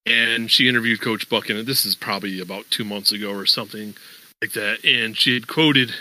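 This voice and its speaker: Bright-voiced man on a sports podcast. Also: on the low side, fairly quick, low-quality recording. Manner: conversational, many fillers, explains at length. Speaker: podcast host. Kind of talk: casual sports podcast talk.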